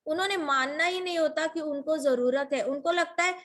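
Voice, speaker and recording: High-pitched woman, devotional storyteller, emphatic, full of rhetorical questions. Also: moderate pace, a bit bright. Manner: mixes English words into Hindi.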